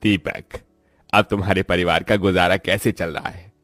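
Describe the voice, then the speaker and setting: A man voicing a calculating office boss in a cartoon story, measured and inquisitive. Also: sits low, level tone, fairly bright tone, dry recording, soft background music. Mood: probing, measured. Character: smooth-talking male office boss, probing and calculating.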